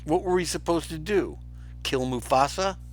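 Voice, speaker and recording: A man's voice: fairly high pitch, normal speed, faint music behind, pitched above the performer's own voice, natural voice. A man voicing an insolent, hungry male hyena henchman with a whining manner in a children's storybook reading. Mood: whiny, defensive. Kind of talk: children's storybook read-aloud.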